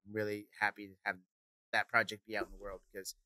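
A young man with a bright, crisp voice talks through a close studio mic on a boom arm, dry and close with no room sound. He is easygoing and loose, laughs often, and his sentences trail off into "you know". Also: level tone, quick pace, dry recording. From Kansas. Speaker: songwriter and producer guest. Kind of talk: casual interview chat. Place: studio set with brick wall and couch.

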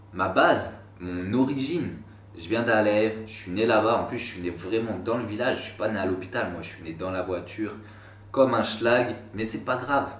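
A man in his twenties chatting casually to camera in a slangy, rambling way, with self-mocking asides, recorded indoors in a small room. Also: on the low side. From France. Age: 20s. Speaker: YouTuber. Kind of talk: casual vlog talk to camera. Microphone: camera a few feet away. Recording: roomy, slightly distant sound.

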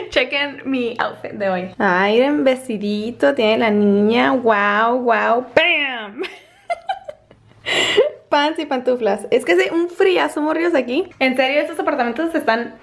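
A young woman talks in a high, bubbly voice. She sounds a little hoarse and giggles between lines. She is recorded on a handheld camera at arm's length in a small apartment. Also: animated, slow. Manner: giggly, chatty. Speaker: vlogger. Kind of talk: casual vlog chat.

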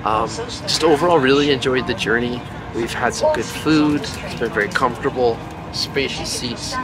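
A man's voice: medium pitch, moderate pace, low-quality recording. A young man vlogging casually from his seat on a moving train, filmed at arm's length. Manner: relaxed, upbeat, enthusiastic recommending. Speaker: travel vlogger. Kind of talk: casual travel vlog talk. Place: inside a moving high-speed train. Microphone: camera at arm's length. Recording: background music over the voice.

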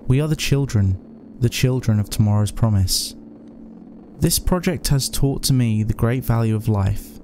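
A man voices an eerily calm young cult convert in a horror story. His speech is formal and devout, in a dark, mellow tone with faint noise behind it. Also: medium pitch, close to an everyday voice. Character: serene, devout male student turned cult convert. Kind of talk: scripted horror monologue, read as an essay.

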